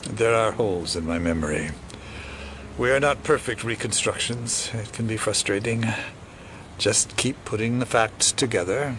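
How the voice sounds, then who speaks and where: A man's voice: lowish voice, fairly bright tone, slight background noise, close to an everyday voice. Actor as a sinister, knowing older male conspirator. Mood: wry resignation. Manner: cryptic, condescending, deliberate. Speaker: actor playing a shadowy villain. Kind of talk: audio drama acting.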